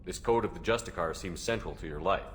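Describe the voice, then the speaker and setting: A male actor as a steady military commander in a video game, direct and inquisitive. His voice is deep and his delivery quick, with faint background noise. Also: lightly acted. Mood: curious, businesslike. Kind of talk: video game dialogue acting.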